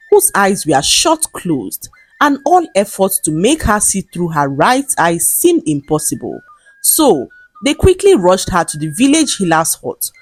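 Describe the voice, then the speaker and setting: A woman narrating a scripted folktale in an expressive, dramatic storytelling manner. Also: on the low side, slightly rough. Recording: music behind the voice. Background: background music.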